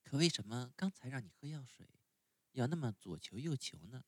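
A storyteller voicing a patient, motherly fairy who gently coaxes a sick child. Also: medium pitch, animated, moderate pace, breathy edge, no room sound, studio quality, voice pushed up. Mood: scolding. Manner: soft, coaxing, gentle.

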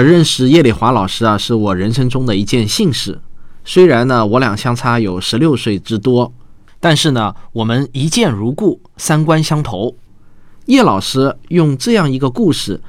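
A man's voice: mid-range voice, dry recording. A man hosting a programme, addressing listeners with personal commentary. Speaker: programme host.

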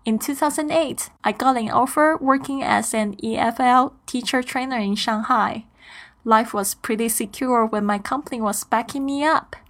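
A woman in her thirties from Taiwan, hosting a podcast and reading a prepared introduction in a steady, earnest, upbeat way. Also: on the high side. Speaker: podcast host.

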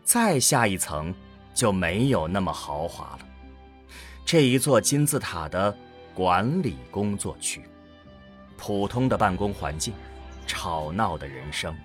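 Slow, expressive male storyteller. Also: low voice, faint music behind. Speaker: narrator. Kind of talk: scripted audio drama narration.

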